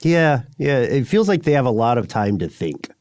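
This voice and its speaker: A man's voice: medium pitch, big pitch swings, normal speed, a little mellow, no room sound, studio quality. A man co-hosting a podcast in casual, bantering chat, with a wry, playful and rambling way of talking. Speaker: podcast co-host. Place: remote recording, dry close sound.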